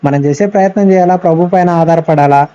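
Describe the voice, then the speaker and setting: High, very mellow Rayalaseema Telugu man advising. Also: brisk, smooth. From Kurnool, Andhra Pradesh, India. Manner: earnest, admonishing, repeats phrases and checks for agreement. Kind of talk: advisory phone conversation. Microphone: phone call. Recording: dull lo-fi phone call recording.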